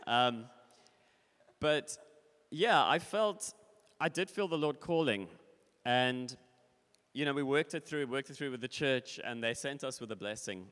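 A man in his forties from Africa, sharing his testimony at a church service in a relaxed, rambling way. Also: medium pitch, unhurried, pauses often. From South Africa. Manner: casual, rambling, with fillers and laughs. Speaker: visiting speaker. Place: church hall. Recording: long hall reverb.

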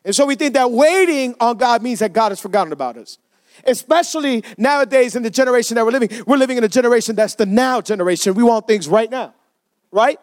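A male pastor with a strikingly high voice delivers a sermon at a church service. He talks in an easy, conversational way and keeps prompting the congregation to answer him. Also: quick pace. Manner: conversational, asks the congregation for responses.

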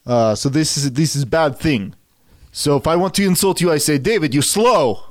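A man acts out an earnest Bulgarian gamer in a comedy sketch. His voice sits fairly high for a man, with a Bulgarian accent. He gropes for words in halting, broken English, and the sound is dry and free of room. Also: animated, no echo, lightly acted. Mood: earnest, blunt. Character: Bulgarian gamer, earnest, naive foreign visitor.

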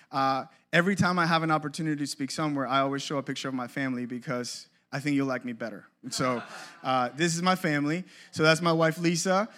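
A man's voice: a little high, a bit bright. A man in his thirties from Colombia, a creative ministry leader giving a casual, joking workshop talk with many fillers and restarts.

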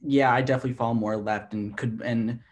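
A man's voice: mid-range voice, steady tone, brisk, slight background noise. A man of twenty in casual chat as a podcast co-host, talking loosely with many fillers and laughing easily. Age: 20s. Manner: chatty, heavy use of "like", laughs easily.

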